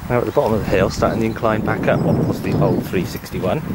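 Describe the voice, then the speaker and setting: A man's voice: on the low side, fast. A man giving a casual, musing walking commentary on a handheld camera outdoors. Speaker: amateur film narrator. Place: outdoors on a country path. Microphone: handheld camera microphone. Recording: noisy outdoor camcorder sound.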